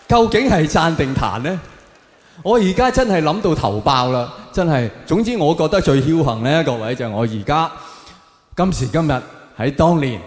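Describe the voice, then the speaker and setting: A man from Hong Kong with a Hong Kong Cantonese accent, performing stand-up comedy in a sardonic, theatrical manner full of rhetorical questions. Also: a little high. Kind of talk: stand-up comedy monologue to a live audience. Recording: echoey hall with long reverb.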